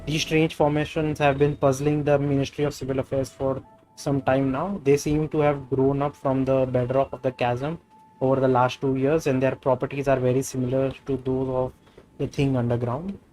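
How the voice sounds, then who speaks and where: An Indian man with a mid-pitched voice hosts a casual game stream. A native Hindi speaker, he chats and reads out dialogue, with music playing faintly behind him. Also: level tone, normal speed, mellow, poor audio. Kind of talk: casual gaming livestream, reading game dialogue aloud.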